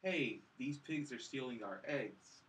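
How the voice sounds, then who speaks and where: A man's voice: mid-range voice, animated, unhurried, fairly bright tone, distant mic, slight background noise, rough recording. A man chatting casually as a podcast panelist about films, rambling and leaning heavily on the word "like". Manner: rambling, heavy use of "like". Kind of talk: casual chat.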